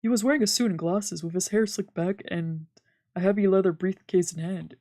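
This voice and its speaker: A woman's voice: medium pitch, fairly quick, warm tone, no echo, clean studio sound. A woman streamer narrating a visual novel aloud in a let's play, reading dramatically and sometimes stumbling over words.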